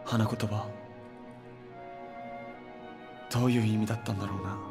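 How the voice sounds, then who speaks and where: A man's voice: lowish voice, level tone, rapid-fire, lightly acted. An actor playing a cool, guarded young man in a Japanese video game cutscene, terse and matter-of-fact. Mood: subdued, resolved. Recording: music behind the dialogue.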